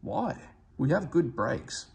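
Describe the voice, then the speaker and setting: A man voicing a small male mountain engine, calm and modest, patiently explaining. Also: on the high side, slow pace, creaky, voice pushed up, exaggerated voice.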